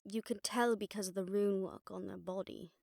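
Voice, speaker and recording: A sharp-tongued witch detective played by a woman in an intimate audio drama. Her voice has a pronounced creak and sits in a very dry recording. She explains things in a casual, wry way, like a seasoned investigator briefing an exasperating partner. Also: medium pitch, fairly even intonation, moderate pace, no room sound, lightly acted. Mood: focused, explaining. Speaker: audio roleplay voice actor. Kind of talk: conversational audio roleplay acting.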